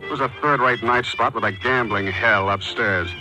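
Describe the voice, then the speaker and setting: An American actor playing a hardboiled, wisecracking private detective in a 1940s radio drama, terse, slangy and sardonic. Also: male voice, medium pitch, moderate pace, natural voice, low-quality recording. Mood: wry narration. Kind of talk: 1940s radio detective acting, first-person narration. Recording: 1940s radio recording with music behind.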